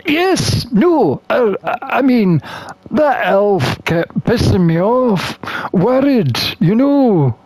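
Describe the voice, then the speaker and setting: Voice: medium pitch, big pitch swings, very slow, a little mellow, no echo, exaggerated voice. A person voicing a flustered, protective satyr from a visual novel in a hesitant, stammering way. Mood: flustered, evasive. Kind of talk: acted visual novel dialogue reading.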